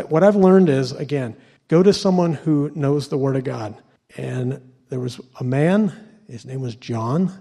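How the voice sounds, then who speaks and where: A man's voice: mid-range voice, mellow. A man from Ohio giving a sermon to a church congregation as a guest lay preacher, stumbling and repeating words with lots of fillers. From Ohio, USA.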